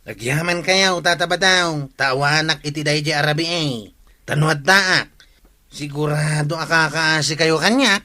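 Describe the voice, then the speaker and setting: A man's voice: on the high side, unhurried, sharp tone. A man with an Ilocano accent playing a cranky, grumbling villager in a comedy radio drama.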